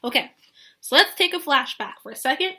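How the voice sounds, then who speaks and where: A woman with a very high, bright voice reads aloud as a teacher to young children. Her delivery is animated and instructive, and she often turns to her listeners with questions. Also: brisk, slight background noise. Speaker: teacher reading a picture book to children.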